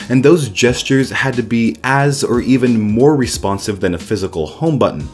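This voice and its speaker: Man with a slightly nasal, raspy cold voice. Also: mid-range voice, darkish tone. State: sick with a cold. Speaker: host of a tech explainer channel. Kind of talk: scripted voice-over narration.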